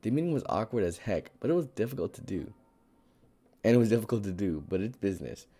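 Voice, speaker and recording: A young man with a hoarse, raspy voice reads Reddit posts aloud on a casual live stream. His voice sits a little low and mellow, and he wanders off into chatty asides full of "like" and "you know.". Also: sing-song, fast talker. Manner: chatty, rambling asides with fillers and laughs.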